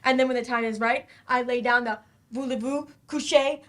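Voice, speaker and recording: A woman's voice: fairly high pitch, steady tone, fairly quick, a bit bright, natural voice. A woman in a staged play reading, acting a brash, goofy and cocky adult student.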